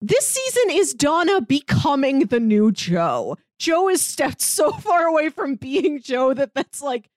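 A woman's voice: high-pitched, sing-song, a little slow, hardly any pauses, a little mellow, dry recording, studio quality. A woman co-hosting a podcast, chatting casually and with strong opinions about a TV series, leaning on fillers like "like". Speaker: podcast co-host. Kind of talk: casual TV recap chat.

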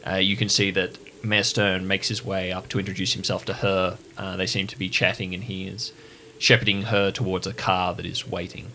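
A man's voice: low voice, little pitch movement, moderate pace, sharp tone, a little hiss or hum. A man narrating a tabletop roleplaying game as game master in a descriptive storytelling style.

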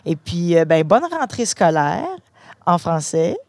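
A woman's voice: lowish voice, big pitch swings, measured pace, a little mellow, no room sound. A woman with a Canadian French accent hosting a casual, chatty interview with schoolchildren and their principal. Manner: friendly, chatty, restarting phrases. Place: inside a parked truck.

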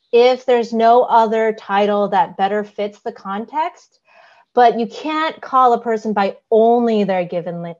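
A woman's voice: mid-range voice, darkish tone, dull audio. A woman co-hosting a podcast, explaining things carefully in casual chat, with frequent "um"s and a giggly manner. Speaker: podcast co-host.

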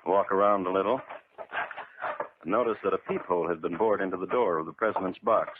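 Low-voiced deadpan radio narrator, man, crackly recording. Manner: dry, deadpan, measured. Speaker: host and narrator of a true crime drama. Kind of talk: 1950s radio drama scripted narration. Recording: noisy, narrow-band 1950s radio recording.

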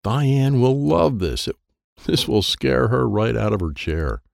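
A man's voice: mid-range voice, sing-song, unhurried, creaky, darkish tone, dry recording, clean studio sound, pitched above the performer's own voice, exaggerated voice. A man voicing a bossy, teasing big brother who gives commands in a children's story.

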